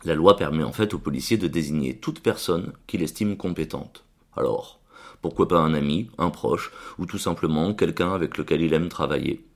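Man, very low voice, steady delivery, scripted radio column. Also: moderate pace.

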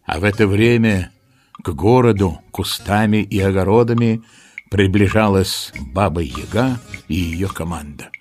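A man playing the storyteller of a children's audio play, giving expressive, dramatic scripted narration. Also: sits low, unhurried, faint music behind. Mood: storytelling.

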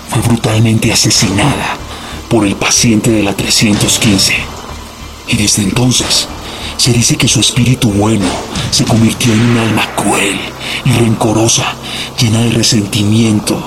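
Man acting ominous horror narrator, flat delivery, lowish voice, measured, noisy background. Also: lightly acted. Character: grave male narrator of a horror tale. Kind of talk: scripted radio drama narration. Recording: clear background noise and ambience.

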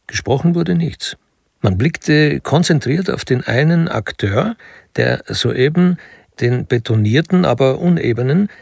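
An actor playing a wry storyteller, narrating a literary crime radio drama with deliberate pauses and a gravelly voice. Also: male voice, medium pitch, no room sound, clean studio sound, exaggerated voice.

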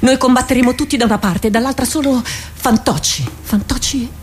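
A woman's voice: on the high side, a little fast, noisy background, natural voice. A woman playing an anxious, urgent female warrior in an Italian radio drama. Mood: feverish, plotting.

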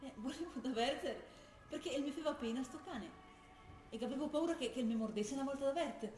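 A woman's voice: high-pitched, fairly quick, reverberant, music in the background, lightly acted. An actress playing an earnest, troubled young woman militant, confiding in a dialect-tinged way. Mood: dreamy. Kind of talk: radio broadcast of a stage play.

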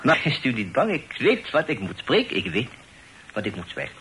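Sly french painter in an old radio drama, teasing and polite, halting phrases, very lively, bit of noise. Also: male voice, medium pitch. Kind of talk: Dutch radio play acting. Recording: noisy old radio play recording.

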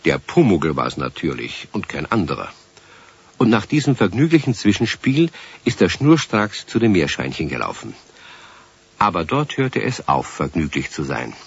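A man narrating a children's radio play as a calm, expressive storyteller, taking his time. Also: low-pitched, slightly rough, full character voice. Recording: slightly dull vintage radio recording.

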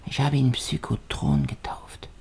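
An actor playing an intense, self-absorbed male musician and inventor in a radio play, speaking in a hushed, solemn, incantatory way. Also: mid-range voice, sing-song, measured pace, gravelly, husky, whispered, heavily acted. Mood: hushed, proud. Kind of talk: German art radio play monologue. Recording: studio radio play under loud music. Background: loud music.

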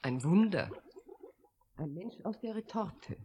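Female scientist, maternal tutor, low-pitched, instructive, science-fiction radio drama, faint noise. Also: animated, slow pace, mellow. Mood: encouraging. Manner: encouraging, instructive, motherly. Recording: noisy vintage radio drama recording.

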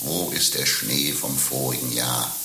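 A man playing a cynical, coarse pimp in a satirical radio play, bragging slyly with knowing pauses and mock tenderness. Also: a bass voice, fairly even intonation, gravelly, full character voice. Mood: wry, reflective. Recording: roomy, with faint hiss.

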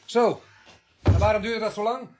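An actor playing a blunt, wily, sarcastic police sergeant in a radio play. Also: male voice, high-pitched, normal speed, noisy background, close to an everyday voice. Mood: irritated, sarcastic. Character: gruff, wily, sardonic male police sergeant at night duty.

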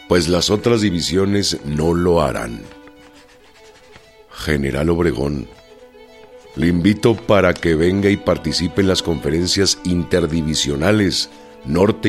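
An actor playing a stern revolutionary general dictating a formal letter in a radio drama. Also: male voice, low-pitched. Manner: formal, declamatory.

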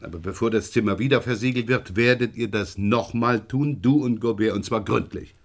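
An actor playing a brisk, sceptical chief inspector in a German radio crime drama, dryly gruff and commanding. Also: male voice, on the low side, no echo.

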